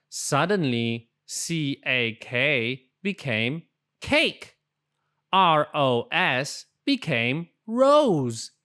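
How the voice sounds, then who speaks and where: A storybook narrator reads for young children with very lively intonation. The pace is slow and deliberate, and the voice is smooth and clear, made for expressive storytelling. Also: medium pitch. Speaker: storybook reader. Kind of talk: animated children's read-aloud narration.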